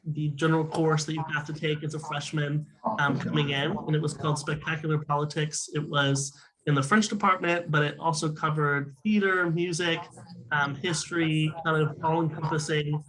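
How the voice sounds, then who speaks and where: Hoarse young man, flat raspy voice. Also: a little high, moderate pace, noisy background. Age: young adult. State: hoarse, losing his voice. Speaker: music student. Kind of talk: panel discussion.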